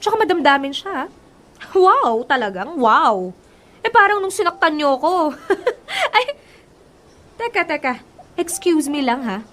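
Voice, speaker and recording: High-voiced, sarcastic scorned young Filipino woman. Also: big pitch swings, unhurried, pauses often, fairly bright tone, full character voice. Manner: sarcastic, taunting. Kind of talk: Tagalog radio drama acting.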